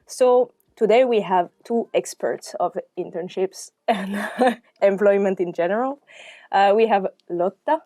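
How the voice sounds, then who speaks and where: A woman's voice: medium pitch, measured pace, warm tone, dry recording, clean studio sound. A woman hosting a podcast interview, friendly and laughing between questions. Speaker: podcast host.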